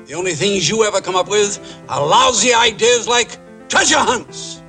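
A man's voice: high voice, lively intonation, normal speed, sharp tone, faint music behind, full character voice. An actor playing a brash man pushing a TV show idea, speaking impatiently and scornfully in 1960s film acting.

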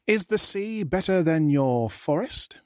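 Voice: medium pitch, expressive, a little slow, no room sound, studio quality, lightly acted. A storyteller voicing a gullible, simple-minded shark in a children's tale. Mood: earnest. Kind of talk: storybook reading.

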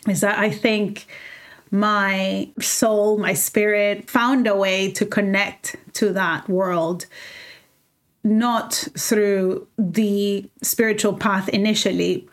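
A woman, speaking as a guest in a reflective conversation, earnest and heartfelt, often restarting her sentences. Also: mid-range voice. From El Salvador. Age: 30s. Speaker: guest, fashion designer.

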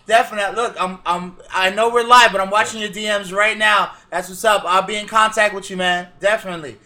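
A man's voice: high-pitched, steady tone, brisk, sharp tone. A man hosting a podcast in casual chat, hyped and agreeable, repeating his phrases.

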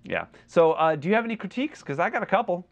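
A man co-hosting a podcast in a casual film discussion, talking in a halting, wordy way as he thinks aloud. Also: sits high, big pitch swings, creaky. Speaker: podcast co-host.

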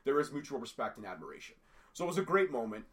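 A man co-hosting a casual podcast, raspy from a cold, talking at length in an animated, rambling way. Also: mid-range voice, racing. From Canada. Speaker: podcast co-host. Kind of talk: casual wrestling podcast chat.

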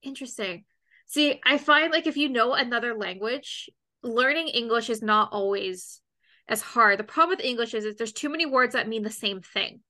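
A woman hosting a casual interview, chatty and expressive, often repeating words as she starts a thought. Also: a little high, normal speed. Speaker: host.

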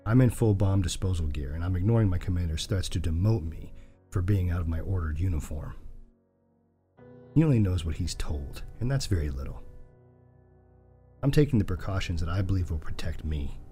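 An actor playing a methodical soldier recording a field log in an audio drama, with measured, deliberate delivery. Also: male voice, sits low, warm tone, lightly acted.

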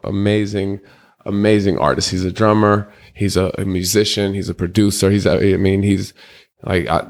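A young man with a deep voice, talking loosely in slang as an interview guest, rambling through candid stories. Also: a little mellow. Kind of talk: casual candid interview talk.

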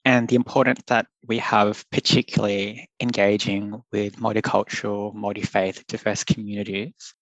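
A man's voice: lowish voice, little pitch movement, moderate pace, hardly any pauses, fairly bright tone, no room sound, lo-fi sound. A young man, a public health doctor, speaking earnestly and thoughtfully in a podcast interview, laughing now and then. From Australia. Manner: thoughtful, earnest, laughs often, loose phrasing.

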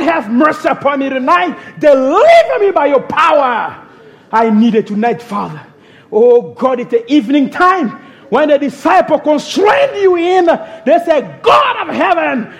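High-voiced young preacher praying fervently. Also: male voice. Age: young adult. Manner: fervent, pleading, crying out "oh God" between phrases. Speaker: minister.

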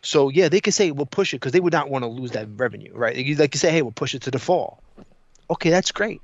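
A man's voice: medium pitch, animated, fast talker, dry recording. Man, podcast co-host, blunt and emphatic, salty language, casual opinionated chat, dry but muffled lo-fi audio.

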